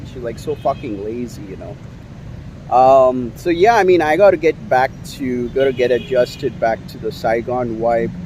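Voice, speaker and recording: A man's voice: mid-range voice, mellow, slight background noise, poor audio. A man from India vlogging outdoors on a city street, rambling with many fillers as he complains about his day. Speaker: YouTuber. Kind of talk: casual vlog monologue. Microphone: handheld camera.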